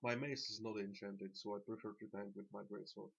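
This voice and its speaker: A man with a Slovak accent giving halting, plain-spoken, unscripted commentary as he guides viewers through a video game. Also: fairly low pitch, level tone, creaky, a bit bright, husky. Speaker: gamer presenting a dungeon tanking guide. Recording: dull lo-fi voice track.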